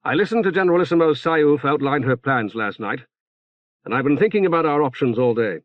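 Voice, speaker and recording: A smooth, sardonic councillor in an audiobook novel, played by a male reader. He sounds coolly calculating, like a practised politician weighing his options. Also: fairly high pitch, animated, fairly quick, no echo, muffled recording, pitched above the performer's own voice, lightly acted.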